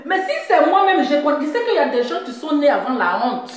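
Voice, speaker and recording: A woman's voice: high-pitched, big pitch swings, a little fast. A woman with a Central African French accent ranting on a live stream, mocking and laughing, repeating her points. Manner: scolding, laughing, repeats phrases. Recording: very echoey room.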